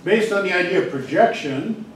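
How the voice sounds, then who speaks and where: A man's voice: high-pitched, lively intonation, normal speed. Guy lecturing a class, chatty asides, recorded from a distance, faint hiss. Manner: chatty, digressive, talks directly to students. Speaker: psychology lecturer. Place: lecture hall. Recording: roomy hall, distant mic, faint hiss.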